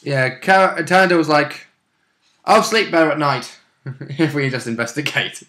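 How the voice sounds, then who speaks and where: A man's voice: on the high side, expressive, normal speed, sharp tone. A man chatting casually as a player in a tabletop game, chipping in with wry remarks. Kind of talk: casual chat.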